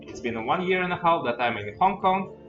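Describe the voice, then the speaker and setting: A man's voice: a little high, lively intonation, a little fast. Muffled man co-hosting a beer tasting. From Kazakhstan, from Astana. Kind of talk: casual livestream tasting chat. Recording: muffled livestream audio with music behind. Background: clear background music.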